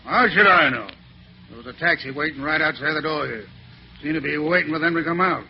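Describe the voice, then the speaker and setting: An actor playing a surly small-time crook in a 1940s radio drama, rough, slangy and defensive, with a gravelly voice. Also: male voice, fairly high pitch, brisk, natural voice. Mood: grudging, defensive. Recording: muffled, noisy old radio broadcast.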